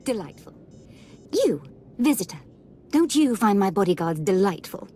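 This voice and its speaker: An actress playing a menacing, playfully sadistic Sith lord in a video game, sweetly mocking and imperious. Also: female voice, mid-range voice, very animated, moderate pace, mellow, a little hiss or hum, natural voice. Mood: mocking, cruel. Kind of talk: scripted game dialogue.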